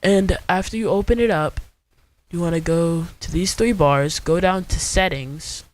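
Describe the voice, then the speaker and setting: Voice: mid-range voice, unhurried. A young adult host giving an informal, step-by-step screen tutorial in a casual way. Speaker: video host.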